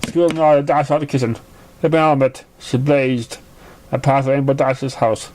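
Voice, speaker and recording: A man's voice: medium pitch, flat delivery, normal speed, darkish tone, slight background noise. A man reading a scripted story aloud as its narrator. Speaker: fan fiction reader.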